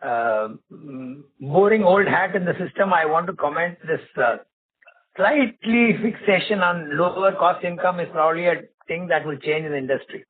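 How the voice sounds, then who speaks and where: Guy exec on earnings call, kinda high voice, measured n halting, tinny phone sound. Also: breathy edge. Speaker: bank executive. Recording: narrow tinny phone conference line.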